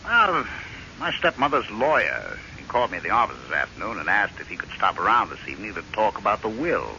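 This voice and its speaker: An actor playing a bitter, resentful husband in a radio drama, sardonic, brooding and insistent. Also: male voice, on the low side, very animated, quick pace, bright, forceful tone, full character voice. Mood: wary. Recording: muffled old radio recording, faint noise.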